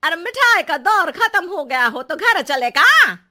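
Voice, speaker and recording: Cartoon housewife, female performer: young, sharp-tongued, nagging, very animated and high-pitched. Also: unhurried, exaggerated voice. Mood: sarcastic, annoyed. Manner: scolding, exclaiming, sharp-tongued. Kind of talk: Hindi cartoon comedy acting.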